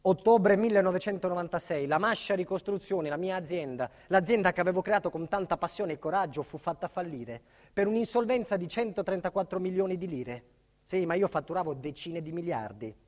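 A man's voice: high voice, expressive, fairly quick, a little mellow. An actor playing an earnest, embattled businessman telling his life in a theatrical monologue, with emphatic, dramatic phrasing. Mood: indignant, grave. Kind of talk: theatrical first-person monologue. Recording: reverberant theatre hall recording.

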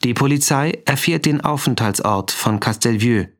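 A man delivers scripted scene announcements at medium pitch. He is factual and clipped, like reading headlines. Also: moderate pace.

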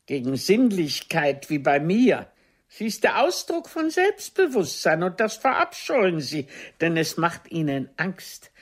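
A proud, ageing theatre diva in a radio drama. Her husky voice swings widely in melody, theatrical and cutting, as she reproaches a former lover with formal, wounded dignity. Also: fairly low pitch, huge pitch swings, a little slow, exaggerated voice. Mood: indignant. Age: older. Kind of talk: German radio play dialogue.

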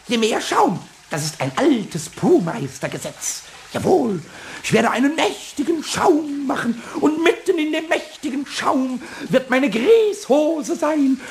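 A man playing a childish, mischievous little kobold in a children's radio play, boastful and whiny, stammering and repeating words excitedly. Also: extremely high pitch, vocal fry, a little hiss or hum, exaggerated voice.

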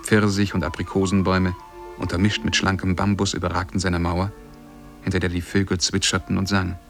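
An actor playing a lovestruck, shy young man who tells his tale in measured, poetic German radio drama style. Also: low voice, little pitch movement, creaky, soft background music. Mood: lyrical, longing. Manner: measured, poetic, careful diction.

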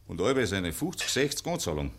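A man's voice: sits low, very animated, brisk, vocal fry, slight background noise, full character voice. A man playing an eager, good-humoured suitor in a Bavarian dialect radio drama from the 1950s, coaxing and earnest. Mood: earnest courting.

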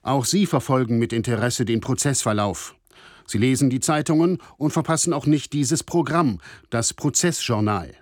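An actor playing a formal radio reporter who narrates a trial report in a measured, descriptive way. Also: male voice, mid-range voice, no room sound, studio quality, close to an everyday voice. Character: male radio reporter covering a war-crimes trial.

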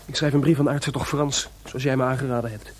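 A man's voice: mid-range voice, steady tone, fast talker, slight background noise, lightly acted. A man playing an anxious, frustrated court composer in a radio drama, agitated and hesitant in manner. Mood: curious.

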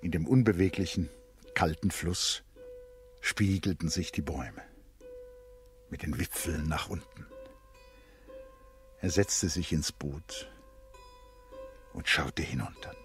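A man narrates a literary radio drama in a very deep voice. His delivery is measured and contemplative, and plucked string music plays clearly behind him.

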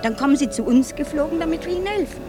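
Fussy kind motherly lady, coaxing, really animated, loud music behind her, radio play. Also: high-pitched, rapid-fire. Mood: motherly, fussing. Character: kindly fussing grown woman in a bread queue. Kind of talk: radio drama acting.

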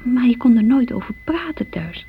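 An actress playing a lonely, guilt-ridden younger daughter in a Dutch radio drama, confessing hesitantly in a creaky, sometimes breathy voice. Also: female voice, on the high side, sing-song, normal speed. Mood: defensive, hesitant. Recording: muffled, noisy old radio recording.

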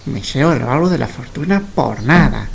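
Radio-play dupe voiced by a man: greedy, halting, eager, highly animated pitch, faint background ambience. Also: gravelly, slight background noise. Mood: gloating. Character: gullible, greedy mule-riding traveler, eager to buy. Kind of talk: Spanish-language storybook radio play.

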